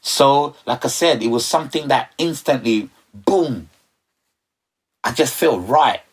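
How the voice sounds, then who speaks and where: Fields. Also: male voice, medium pitch, animated, a little slow, breathy edge. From Ghana. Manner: loose and rambling, repeats "you know" and "like I said". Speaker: sound system operator, guest. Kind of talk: relaxed interview chat.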